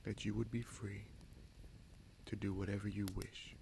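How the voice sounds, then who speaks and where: A man playing a vengeful, brooding bastard prince and sorcerer in a fantasy audio roleplay, speaking in a measured, solemn, halting way. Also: fairly low pitch, flat delivery, vocal fry, darkish tone, a little hiss or hum. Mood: solemn, earnest.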